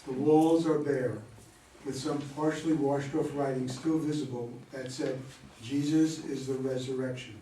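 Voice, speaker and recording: A man's voice: medium pitch, steady tone, a little slow, warm tone. A man reading out a play's cast list and stage directions as scripted narration, at some distance in a roomy space. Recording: roomy, distant mic, faint noise.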